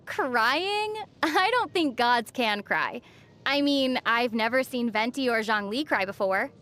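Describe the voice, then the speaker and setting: An actress playing a calm, sensible, gently teasing young traveler heroine in a video game. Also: female voice, a little high, slow, a little hiss or hum. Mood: skeptical. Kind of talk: scripted video game dialogue.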